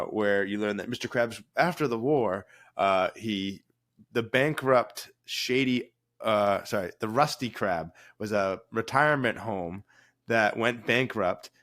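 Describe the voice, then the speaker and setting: A man with a cold, co-hosting a podcast in a low, mellow voice, joking and rambling with restarts. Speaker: podcast co-host, former cruise director. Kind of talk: casual podcast chat.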